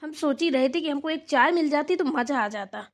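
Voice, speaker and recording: A woman acts out a village matriarch in a family cartoon, an older mother-in-law with a high voice. She is chatty and bossy, rambling on homely matters as she gives instructions. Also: lively intonation, fairly quick. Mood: chatty, content. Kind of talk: amateur Hindi family cartoon dialogue.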